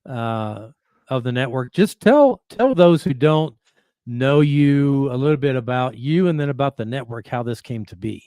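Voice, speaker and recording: A man hosting a talk show, speaking in a friendly, halting way with many restarts. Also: medium pitch, lively intonation, mellow, smooth, no echo, studio quality. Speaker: podcast host. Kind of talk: casual interview chat.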